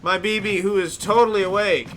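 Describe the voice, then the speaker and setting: A man's voice: sits high, fairly even intonation, a little fast, fairly bright tone, a little hiss or hum. A man casually commentating his live gameplay at home, joking and chatty. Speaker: game streamer. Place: home, indoors. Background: game music.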